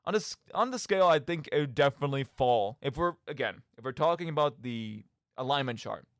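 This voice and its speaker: A man's voice: medium pitch, lively intonation, brisk, dry recording, studio quality. A man hosting a radio show, giving casual solo commentary on games in an emphatic, rambling way, often interrupting himself.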